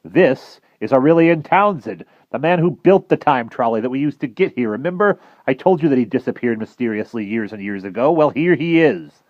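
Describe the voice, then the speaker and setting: A gruff, crabby elderly professor, put on by a male narrator. The voice sits noticeably higher and louder than the reader's own, with a growl to it. A slightly muffled, dry recording. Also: lively intonation, brisk, hardly any pauses, dry recording, lightly acted.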